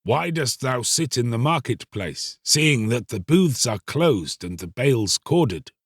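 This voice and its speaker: A man voicing a kindly, persuasive merchant in a storybook, speaking in measured, inviting, archaic phrases. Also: mid-range voice, sing-song, dry recording, studio quality. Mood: welcoming. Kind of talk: dramatised storybook reading.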